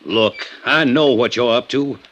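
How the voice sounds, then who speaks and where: An actor playing a defensive, testy small-town county attorney in a 1950s radio drama, guarded and quick to protest. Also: male voice, medium pitch, a bit bright, close to an everyday voice. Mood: indignant.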